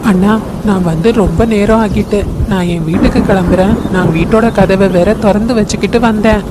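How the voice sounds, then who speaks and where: A woman voicing a sly, greedy younger-brother eagle in a cartoon story, exclaiming and gloating in drawn-out phrases. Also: medium pitch, fairly even intonation, close to an everyday voice. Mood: dutiful. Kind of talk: Tamil cartoon story voice acting. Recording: noisy track with outdoor ambience.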